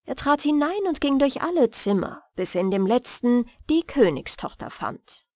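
A woman reading a fairy tale as a bedtime audiobook, in a measured, soothing manner. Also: high voice, big pitch swings, a little mellow, no room sound, clean studio sound. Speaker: storyteller and audiobook reader.

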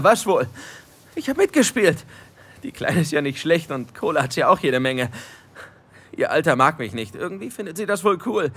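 An actor playing a frightened, whiny young small-time crook in an audio drama, husky and nervous. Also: male voice, on the high side, a bit bright, heavily acted. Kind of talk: German audio drama acting.

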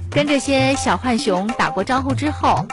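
A woman's voice: high voice, animated, normal speed. A woman reading scripted narration for a television travel programme. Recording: music bed behind voice.

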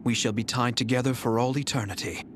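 A man plays a proud young warlord's heir in a video game, calm and measured, looking down on everyone around him. His voice sits at an ordinary male pitch, with a faint hiss of noise under the clean studio read. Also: close to an everyday voice. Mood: haughty. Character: cool, arrogant young male strategist general. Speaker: game character. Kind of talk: game voice clips.

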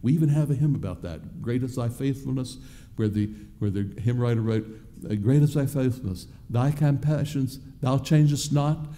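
A man preaching a sermon from a church pulpit in a halting, deliberate, explanatory way. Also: mid-range voice, warm tone. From Southern United States. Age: 60s. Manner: halting, deliberate, with repeated words and pauses. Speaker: pastor preaching.

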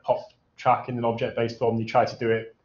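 A man's voice: mid-range voice, completely flat delivery, brisk. A man in his thirties talking casually about technical work at a seminar. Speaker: researcher co-presenting at a seminar. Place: medium seminar room with hard walls. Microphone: camera microphone in the room. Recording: echoey, dull room recording.

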